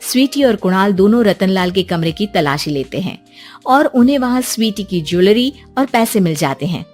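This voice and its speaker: A woman's voice: medium pitch, lively intonation, normal speed, darkish tone, faint music behind. A woman reading scripted story narration as a storyteller for a cartoon tale.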